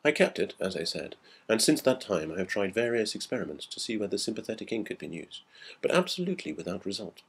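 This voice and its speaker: A man reading a classic ghost story aloud in a measured, dramatic storytelling manner. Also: lowish voice, big pitch swings, a little hiss or hum. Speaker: audiobook narrator.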